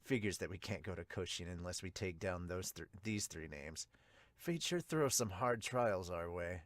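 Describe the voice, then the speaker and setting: Man doing a low, hushed, serious baseball player. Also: expressive, normal speed, dry recording. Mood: grave. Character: low, serious male baseball characters and narrator. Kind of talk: manga dub reading.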